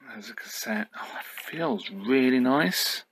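A man's voice: mid-range voice, lively intonation, takes it slowly, a bit bright, slight background noise. A middle-aged man giving a casual, unscripted show-and-tell, musing hesitantly as he goes. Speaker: YouTube host. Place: indoors at home.

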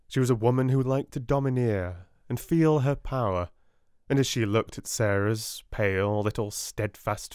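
Gentle British male narrator, slow and measured, dry close sound. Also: on the low side, smooth, no room sound. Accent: British English. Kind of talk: soft-spoken audiobook reading.

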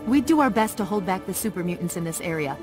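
Woman acting young female squad leader, video game, no-nonsense, clipped military manner, medium pitch, background music. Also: animated, close to an everyday voice. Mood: brisk, firm. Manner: brusque, authoritative, military briskness. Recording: game audio with music underneath.

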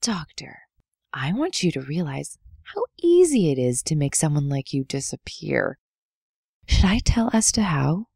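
Woman acting husky, low-voiced proud general. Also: big pitch swings, a little slow, a little mellow, no echo, clean studio sound, exaggerated voice. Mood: cold, threatening. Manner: formal, defiant, clipped. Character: proud, hot-headed female military general. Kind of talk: audio drama acting.

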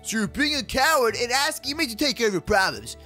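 Man voicing sassy young cartoon hero, very high pitch, animated delivery, slangy, gaming roleplay. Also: fast talker, faint music behind, full character voice. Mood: indignant. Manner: slangy, says bro and dude constantly. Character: excitable, sassy kid hero with a pet dog. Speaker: gaming video creator playing the lead character. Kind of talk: animated Minecraft kids roleplay acting.

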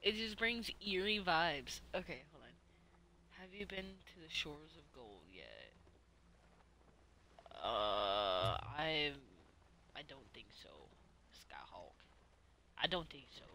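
Girl gamer streaming, bright voice, slow rambly casual chat, swears, bit of game noise behind her. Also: low voice, very animated. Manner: rambling, hesitant, swearing freely. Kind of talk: casual livestream chat while playing.

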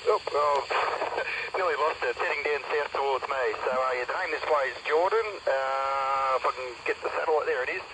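A man's voice: mid-range voice, moderate pace. A man with an Australian accent making casual amateur radio contacts, heard through a two-way radio. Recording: noisy satellite radio link, loud static.

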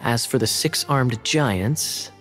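A man voicing a sly, amused young knight captain in a video game, speaking in a wry, unhurried way. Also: mid-range voice, faint music behind, natural voice.

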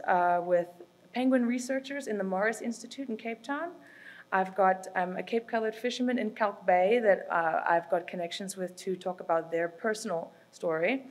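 A woman's voice: medium pitch, darkish tone. A young woman from South Africa whose first language is Afrikaans, earnestly explaining her reporting project at a conference podium with frequent ums and uhs. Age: young adult. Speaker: student reporting fellow presenting her project. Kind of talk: conference presentation. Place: hotel function room with a projection screen. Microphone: podium microphone, heard from a distance.

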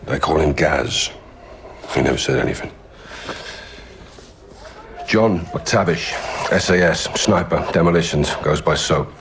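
An actor playing a middle-aged British special forces captain, terse, dry and resolute, in dramatic game dialogue. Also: male voice, very deep voice, unhurried, long pauses, fairly bright tone, a little hiss or hum, exaggerated voice. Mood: dry, guarded. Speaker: actor in a military game cutscene.

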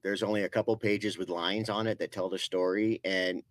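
A man's voice: low-pitched, fairly even intonation, normal speed, dry recording. Man presenting comics at a shop. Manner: enthusiastic, recommends books one by one. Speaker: co-host presenting new comics. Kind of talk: casual chat. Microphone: desk mic.

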